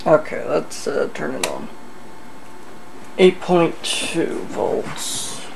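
A person thinking aloud in a halting mutter during a casual hands-on electronics demonstration at an indoor workbench. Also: mid-range voice, huge pitch swings, creaky. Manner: halting, muttering to self while working. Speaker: hobbyist host of an electronics repair video. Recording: noisy camcorder audio with room ambience.

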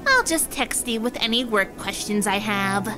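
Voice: medium pitch, animated, moderate pace, over music, lightly acted. A voice actor playing a grumpy miserly duck as Scrooge in a comic dub, whiny and complaining. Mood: grumbling.

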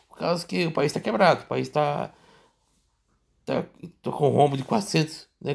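A man with a Brazilian accent giving casual, off-the-cuff news commentary in a rambling, halting, repetitive way with frequent tag questions. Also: a little high, gravelly. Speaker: political commentary vlogger.

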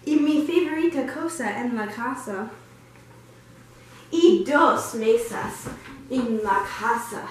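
A young woman, a native English speaker, performing an amateur scripted skit with halting, exaggerated learner delivery. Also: on the high side, expressive. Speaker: student performer. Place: inside a family house. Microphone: camera mic from a distance. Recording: echoey rooms, distant camera mic, noisy.

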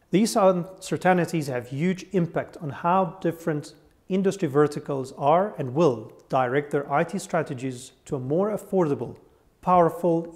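A man in his forties presents from a script in a studio, his voice sitting a little higher than most men's. He paces himself carefully and lists points one by one. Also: a little mellow. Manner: measured, deliberate delivery, listing points one by one. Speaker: conference presenter. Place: studio with virtual sky backdrop.

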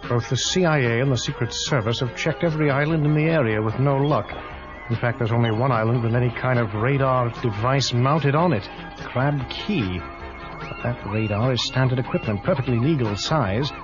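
A man's voice: mid-range voice, normal speed, natural voice. A suave secret agent, played by a man, trading dry quips. His voice sits in an ordinary middle register. It comes through a muffled old tape with music under it. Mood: wry. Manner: dry, wisecracking asides. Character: suave British secret agent, cool and wry. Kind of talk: 1980s storybook record acting.

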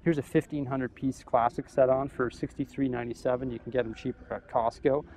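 A young man filming himself in a big store, chatting casually as he weighs up prices and thinks out loud. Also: mid-range voice, fairly even intonation, brisk, slight background noise. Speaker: vlogger. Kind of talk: casual shopping vlog. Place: large store aisle, indoors. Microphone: camera held at arm's length.

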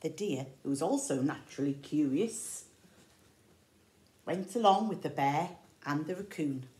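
A woman's voice: low voice, animated, a little slow, darkish tone. A middle-aged woman with an English accent reads a picture book aloud to young children, expressively, explaining words simply as a teacher does. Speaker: infant school teacher. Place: indoor room with large windows. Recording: roomy echo.

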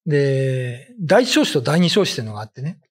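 A middle-aged man with a slightly husky voice, giving informal explanatory commentary in halting, conversational phrases. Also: mid-range voice, sing-song. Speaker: ghost story writer and commentator. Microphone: desk condenser mic with pop filter.

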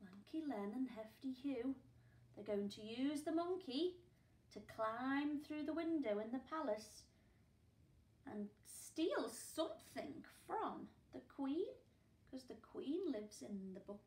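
Expressive woman reading a storybook. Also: high-pitched, slow pace, reverberant. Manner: expressive read-aloud, gasps and hushed asides to young listeners. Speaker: primary school teacher. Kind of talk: reading a picture book aloud to children. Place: living room at home, indoors. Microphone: camera a few feet away.

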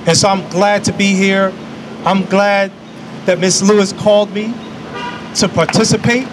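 A man's voice: high voice, fairly even intonation, measured pace. Man giving an emphatic outdoor speech. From New York. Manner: preacherly, emphatic, repeats key phrases. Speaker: invited speaker. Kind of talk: speech at an outdoor public event. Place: outdoor park lawn by a city building. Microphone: podium microphone on a stand. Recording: outdoor traffic noise. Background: city traffic and street ambience.

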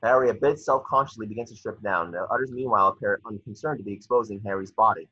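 A man with a husky voice reading out stage directions as scripted narration at a table read on a video call. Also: fairly low pitch, quick pace, pushed and bright, no room sound. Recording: muffled, dry video call audio.

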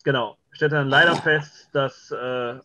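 A man chatting casually as an interview guest, often halting as he searches for words. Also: medium pitch, steady tone, bright, forceful tone. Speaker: radio play director and interview guest. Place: home, video call. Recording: slightly dull video call audio.

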